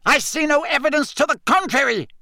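A short, self-important minister of a wizard world blusters in a high, shrill register. His pitch swings wildly with indignation and panic. The sound is dry and close, as in a studio storybook recording. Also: very animated, slow, bright, forceful tone, dry recording, full character voice. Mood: outraged, shrill. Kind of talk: audiobook character voice.

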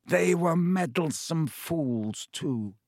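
A man's voice: on the high side, wildly swooping pitch, slow pace, vocal fry, breathy edge, dry recording, studio quality, voice pushed up, full character voice. Man doing a sneering aristocratic villain. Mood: menacing. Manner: sneering, menacing, hissing when soft. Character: cold haughty aristocratic male villain, middle-aged.

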